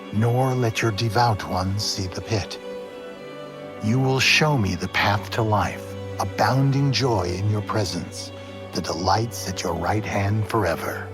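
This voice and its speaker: Slow, low-voiced man reading prayerfully. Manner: measured, prayerful. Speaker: scripture reader. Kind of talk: solemn dramatized psalm reading. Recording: studio voice over background music.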